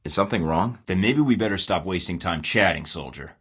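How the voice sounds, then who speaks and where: A cocky young American secret agent from a video game, with a very low voice that stays cool under pressure. He tosses off dry, wry quips between short, firm demands. The delivery is confident and teasing. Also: expressive, a little fast, fairly bright tone, breathy edge, heavily acted. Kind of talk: game voice acting.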